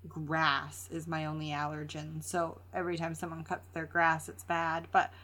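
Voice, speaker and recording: A woman's voice: sits low, moderate pace. A woman co-hosting a podcast, chatting casually and animatedly while congested from allergies. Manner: animated storyteller, acts out quotes. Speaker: podcast co-host. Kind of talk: casual chat.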